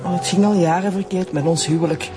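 An actress playing a neglected, hesitant wife confessing an affair in a radio drama, with a Flemish accent. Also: female voice, on the low side, fairly even intonation, quick pace, over loud music, lightly acted. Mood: wounded, defensive. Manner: hesitant, defensive.

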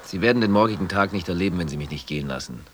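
Give me a deep-voiced, wary captive. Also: male voice, expressive, very fast, background noise. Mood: uneasy, defiant. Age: 30s. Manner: terse, formal, suspicious questioning. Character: wary captive instrument dealer in his late thirties. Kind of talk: German radio drama acting.

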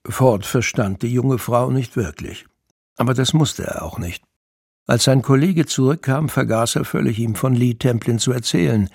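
A man's voice: mid-range voice, moderate pace, gravelly, a little mellow, husky, dry recording, clean studio sound. A man narrating a scripted audio drama in German as the storyteller. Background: music behind the voice.

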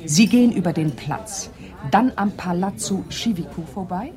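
An actress playing a chatty, helpful café owner in a radio drama, talking in informal fragments. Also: female voice, fairly low pitch, lively intonation, normal speed, darkish tone, lightly acted. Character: chatty café owner giving directions to a stranger.

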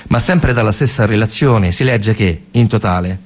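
A man with a central Italian, Roman accent performing a colloquial, emphatic theatrical storytelling monologue, pausing and repeating words, in a gravelly, husky voice. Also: on the low side. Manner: colloquial, emphatic, with pauses and repetitions. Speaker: stage actor and narrator.

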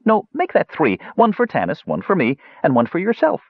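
A man voicing a playful, fast-talking dwarf juggler with theatrical, wheedling patter for an audiobook. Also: fairly high pitch, wildly swooping pitch, no echo, phone-quality sound, voice pushed up, exaggerated voice. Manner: rambling, theatrical salesman patter. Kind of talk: audiobook character voice.

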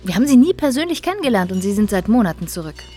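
A woman's voice: medium pitch, sing-song, very fast, warm tone, soft background music, natural voice. A woman playing a restless, persuasive wife in a radio drama. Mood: coaxing. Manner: persuasive, insistent. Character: coaxing, restless wife out drinking at Christmas.

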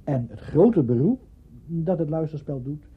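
A man's voice: a little high, lively intonation, measured pace, a little hiss or hum. A man giving scholarly, hesitant explanations in an interview. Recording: dull archival interview recording.

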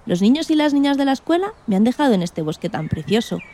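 A woman's voice: high voice, fast, slight background noise. A woman playing an indignant, timid male guinea pig who tells his story expressively in a children's radio play. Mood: joyful. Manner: expressive, exclamatory, plaintive.

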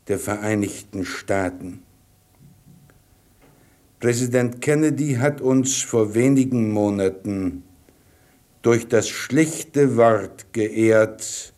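Solemn male radio address, slightly low voice, very slow measured pace. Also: a little mellow. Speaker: city mayor.

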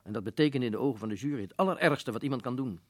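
A man's voice: medium pitch, rapid-fire, no room sound, studio quality, natural voice. A man playing a firm, matter-of-fact older prison governor in a radio play. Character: stern older male prison governor, a colonel.

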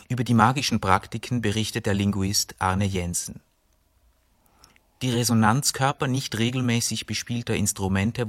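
A man reading a scripted mock-documentary lecture for a radio play in a measured, solemn, scholarly way. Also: fairly low pitch, dry recording. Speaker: narrator of a radio show. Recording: loud music bed under the voice. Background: prominent instrumental music.

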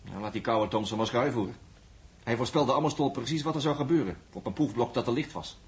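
An actor plays a chatty, sardonic male crewman in an old space radio play. He rattles along quickly at a middling pitch. His talk is colloquial and full of grumbling. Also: lightly acted. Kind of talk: 1960s radio drama acting.

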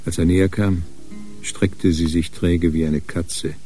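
A man narrating a crime radio play in a measured, sober storytelling manner. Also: low voice, steady tone, dark tone, faint music behind.

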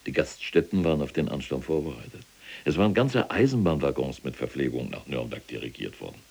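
An older, unrepentant SS general in a radio drama, recounts his deeds with pride and military exactness. His voice sits extremely low, full of gravelly creak and husk. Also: expressive, fairly quick, darkish tone, full character voice. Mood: smug delight. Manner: boastful, precise, military enumeration. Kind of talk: German radio play dialogue.

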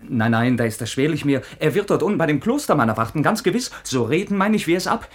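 An actor playing an impulsive, lovestruck young knight in classical radio drama, halting and urgent. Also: male voice, on the high side, big pitch swings, breathy edge. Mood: urgent, alarmed. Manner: urgent, halting, repeats himself.

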